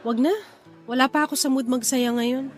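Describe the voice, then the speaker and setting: A woman's voice: high voice, steady tone, moderate pace, soft background music, natural voice. A woman with a Filipino accent playing a grieving, withdrawn wife, sad and pleading, in a radio drama. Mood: withdrawn, downcast.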